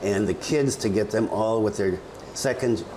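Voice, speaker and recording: A man's voice: on the low side, moderate pace, dark tone, low-quality recording. Man, casual news roundup, reads numbers and articles, informal asides, faint background noise. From lives in Bali. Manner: reads figures and articles aloud, adds chatty asides. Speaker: video news update host.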